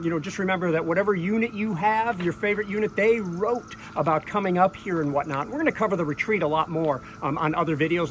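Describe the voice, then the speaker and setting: A high-voiced man tells history stories in a chatty way, wandering into asides as he talks. He is outside by a road, with faint traffic noise in the background. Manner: chatty, storytelling, rambling asides. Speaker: tour co-host. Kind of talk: outdoor battlefield tour talk. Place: outdoors by a road, beside an old inn.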